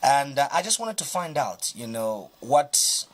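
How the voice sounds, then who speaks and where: A man's voice: mid-range voice, sing-song, measured pace, slight background noise. A man with a Zambian accent hosting a television business show in a formal, wordy manner. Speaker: TV presenter. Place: TV studio.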